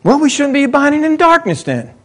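A man with a high-pitched voice delivers a sermon to his congregation. He is middle-aged and talks casually, rising into eager emphasis and then dropping into low, mumbled asides. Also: a little fast. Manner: conversational, swinging between excited highs and muttered asides. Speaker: pastor.

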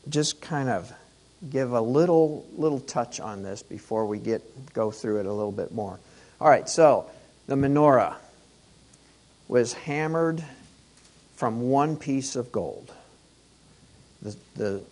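A hoarse man working through a Torah portion at an unhurried pace. His voice is worn but he keeps it chatty, pausing to restart phrases and posing questions to his listeners. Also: medium pitch. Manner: conversational, rhetorical questions, many restarts. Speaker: Torah teacher.

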